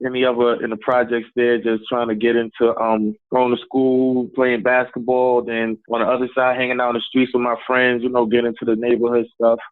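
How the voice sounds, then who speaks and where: A man's voice: medium pitch, monotone, fairly quick, few pauses, no echo. A young man, from the Bronx, answering interview questions in a laid-back way with fillers. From South Bronx, New York. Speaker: guest, hip-hop artist. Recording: tinny phone-like line, dry.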